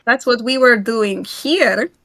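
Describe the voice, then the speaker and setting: A woman's voice: on the high side, slow pace, a bit bright. A woman playing a tabletop role-playing game, chatty and fussy about keeping notes. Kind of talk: in-character table talk.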